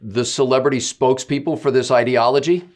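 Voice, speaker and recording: A man's voice: mid-range voice, a little fast, a little mellow. Male interviewer, mid-range voice, posing considered questions on a podcast.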